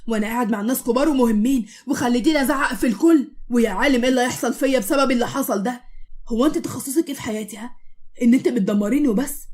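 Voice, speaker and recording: A woman voicing a short-tempered male police officer who shouts orders, with an Egyptian accent. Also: fairly high pitch, lightly acted. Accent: Egyptian Arabic. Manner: shouting, commanding.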